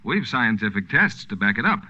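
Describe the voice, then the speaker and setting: A man's voice: fairly low pitch, big pitch swings, normal speed, bright, forceful tone. A male announcer delivering scripted advertising on a 1940s radio show. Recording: dull 1940s radio recording.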